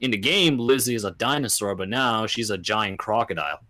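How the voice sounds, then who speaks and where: A man with a somewhat low voice talks as a podcast guest. His delivery is informal and conversational, like a chat among friends. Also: a little fast, bright, forceful tone. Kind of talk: casual chat.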